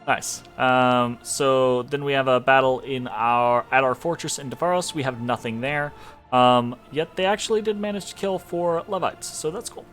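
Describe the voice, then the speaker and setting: A man's voice: mid-range voice, normal speed, a bit bright, soft background music. Congested man rambling through game commentary. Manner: loose and rambling, with many fillers and small laughs. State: congested from allergies. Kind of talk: casual gameplay commentary.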